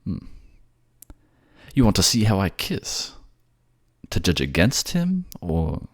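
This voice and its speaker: A man playing a gentle, courtly merman prince who speaks tenderly, politely and a little bashfully in a romantic fantasy audio drama. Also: sits low, wildly swooping pitch, slow, no room sound, clean studio sound, voice pushed up, exaggerated voice. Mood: tender, affectionate.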